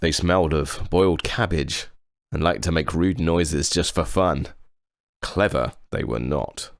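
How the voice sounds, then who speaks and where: A man reading a children's story aloud with expressive narration. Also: a bass voice, unhurried, vocal fry, no echo, clean studio sound. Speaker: storybook reader.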